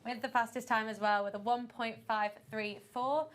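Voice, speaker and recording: A woman at the track presenting the teams and reading out race times briskly. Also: fairly high pitch, steady tone. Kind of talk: live event commentary.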